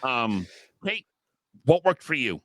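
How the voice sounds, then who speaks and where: A man's voice: medium pitch, big pitch swings, slow, bright, forceful tone, no room sound, clean studio sound. A man giving thoughtful, argued opinions as a panelist in a casual podcast discussion.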